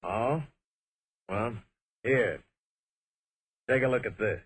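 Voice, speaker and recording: A man's voice: medium pitch, takes it slowly, slightly rough. A man acting a businesslike, authoritative Treasury agent in an old-time radio drama. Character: brisk, authoritative male undercover Treasury agent. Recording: noisy old radio recording.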